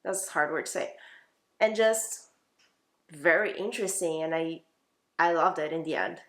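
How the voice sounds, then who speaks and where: A woman's voice: low voice, moderate pace, roomy sound. A woman giving casual, chatty book reviews as a vlogger, informal, opinionated and emphatic in how she talks.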